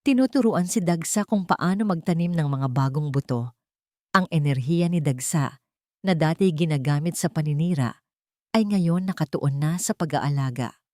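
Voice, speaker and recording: Low-voiced woman narrating a sentimental story. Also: moderate pace, warm tone, dry recording, clean studio sound.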